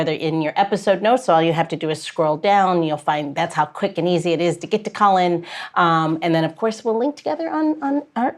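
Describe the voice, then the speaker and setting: A woman hosting a podcast in a casual, chatty style. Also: on the low side. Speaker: podcast host.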